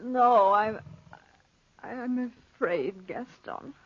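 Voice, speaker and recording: A woman's voice: fairly high pitch, very slow. An actress playing a fearful, secretive desert woman, pleading and breathless, in 1940s radio drama style. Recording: muffled vintage 1940s radio recording.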